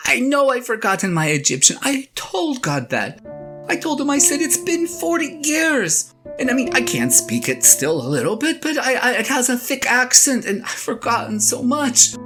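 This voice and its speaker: Woman doing a flustered aging husband in a bible radio play, highish voice, stammers and pleads, guitar and piano behind. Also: big pitch swings, fairly bright tone. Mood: exasperated, sheepish. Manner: halting, stammering, pleading, sighing. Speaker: actor in a one-person audio drama. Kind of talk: dramatic monologue, conversational and halting. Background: guitar and piano music.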